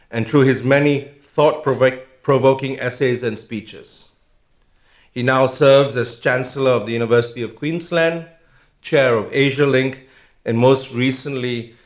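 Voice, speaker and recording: A middle-aged man giving a formal speech of introduction as a host, telling anecdotes along the way. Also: medium pitch. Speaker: host introducing a guest lecturer. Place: indoor public lecture event. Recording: roomy, echoing event venue sound.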